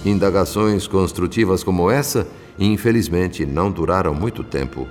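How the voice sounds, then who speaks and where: An actor playing a solemn, compassionate spirit mentor, narrating a radio drama in formal, measured phrases. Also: male voice, sits low, darkish tone, close to an everyday voice. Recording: long reverb, music underneath.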